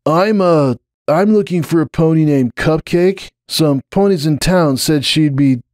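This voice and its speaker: A man's voice: a little high, measured pace, darkish tone, no echo, clean studio sound, pitched above the performer's own voice, close to an everyday voice. A man voicing a nervous, defensive young male pegasus pony with a casual, sheepish manner in a story reading.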